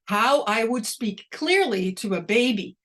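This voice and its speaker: A woman whose first language is Dutch, speaking deliberately and a little slowly as an invited academic answering questions on a video call. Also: on the high side, animated, a bit bright. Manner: deliberate, slightly slowed and exaggerated. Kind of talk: online academic talk, Q&A.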